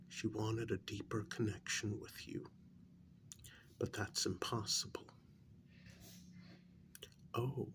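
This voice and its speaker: A man playing a calm clinical researcher in an ASMR role-play, speaking softly and gently in a breathy, creaky near-whisper with deliberate pauses. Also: lowish voice, slight background noise. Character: calm clinical male researcher gently interviewing a werewolf patient.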